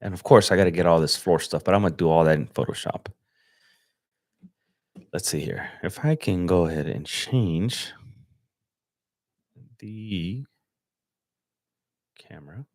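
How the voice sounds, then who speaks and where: A young man from Los Angeles chatting casually on a livestream as he edits photos, relaxed and slangy, thinking aloud with fillers. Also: deep voice, unhurried, long pauses, no room sound, studio quality. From Los Angeles, USA. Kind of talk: casual livestream chat while editing. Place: home desk setup.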